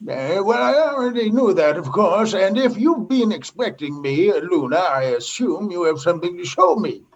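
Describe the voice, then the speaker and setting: A man's voice: sits high, very animated, normal speed, few pauses. A man acting a skeptical, demanding commodore in a comedy sci-fi radio play, with pointed, condescending questioning, on dull muffled audio. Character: skeptical, demanding commodore inspecting a space station.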